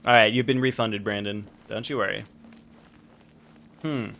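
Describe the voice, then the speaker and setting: A man's voice: fairly low pitch, steady tone, moderate pace, gravelly, pushed and bright. A man livestreaming a video game, chatting casually and rambling as he thinks aloud. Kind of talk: casual live gaming chat and commentary.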